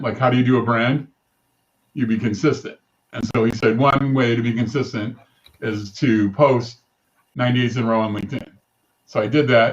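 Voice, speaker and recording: Chatty male host on dull audio. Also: mid-range voice. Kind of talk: casual interview chat.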